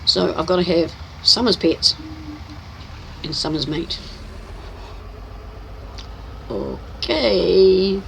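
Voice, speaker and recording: Croaky older Kiwi grandmother gamer. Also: fairly low pitch, lively intonation, takes it slowly, slight background noise, low-quality recording. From New Zealand. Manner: chatty, teasing, narrates her own driving. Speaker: game streamer. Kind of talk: casual live-stream chat while playing.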